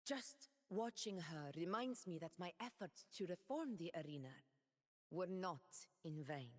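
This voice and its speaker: A woman voicing a proud noble former gladiator in a game, speaking in a measured, dignified way. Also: lowish voice, long reverb tail. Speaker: game character. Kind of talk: game character voice lines.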